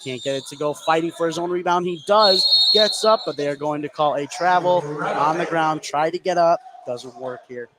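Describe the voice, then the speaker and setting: A man's voice: a little high, normal speed, hardly any pauses. A man doing live play-by-play radio commentary on a basketball game, describing the action in short running phrases. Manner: clipped present-tense running description. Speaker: play-by-play sports announcer. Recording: live broadcast with clear background noise.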